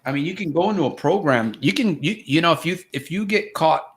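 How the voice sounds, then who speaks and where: A man in his fifties from Connecticut with a faint Connecticut accent, hosting a relaxed coaching interview. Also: fairly high pitch, fairly quick. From Connecticut, USA. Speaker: host and coach. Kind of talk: casual interview chat.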